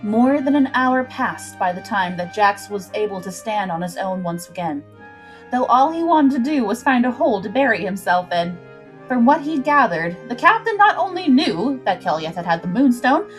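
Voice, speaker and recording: Female storyteller, higher-pitched voice, dramatic scripted adventure narration over light background music. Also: sing-song, normal speed. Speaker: storyteller, livestream host.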